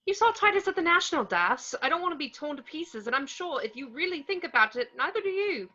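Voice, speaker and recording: Casting: woman as nervy, earnest young friend in a comedy film read. High, very animated, slightly muffled. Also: quick pace, full character voice. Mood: eager, persuading. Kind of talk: amateur table read.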